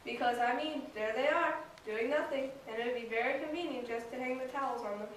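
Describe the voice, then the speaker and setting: A woman's voice: sits high, fairly quick, natural voice. Young girl as a fussy rabbit in an echoey hall. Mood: brisk. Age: child, about ten or eleven. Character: brisk, fussy, polite gentleman rabbit. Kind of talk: staged readers theater performance. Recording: distant echoey room, noisy recording.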